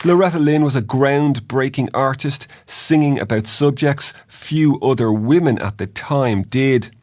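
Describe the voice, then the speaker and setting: A man's voice: mid-range voice, a little slow, a little mellow, some chatter behind, a little hiss or hum. A man reading a scripted obituary report as a news narrator. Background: music behind the voice-over.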